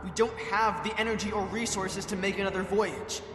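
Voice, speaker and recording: High-voiced, anguished young soldier played by a man. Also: fairly even intonation, fairly bright tone, echoey room, big-room sound, a little hiss or hum. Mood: anguished accusation. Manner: pleading, intense, halting. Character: anguished, earnest, accusing young male soldier. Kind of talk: animated sci-fi parody voice acting.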